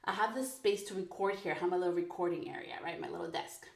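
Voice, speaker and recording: A woman's voice: on the low side, fast talker, creaky. Chatty woman in an echoey bedroom. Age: 30s. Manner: chatty, frank, peppered with "like", laughs often. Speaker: podcast host. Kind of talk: casual personal podcast monologue. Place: her bedroom recording corner. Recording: echoey home room.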